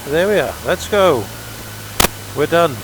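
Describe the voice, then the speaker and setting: A man's voice: a little high, lively intonation, noisy background. Chatty older Welsh man on a motorbike. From Wales. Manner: chatty, grumbling asides, halting with fillers. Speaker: motorbike vlogger. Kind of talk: casual ride commentary.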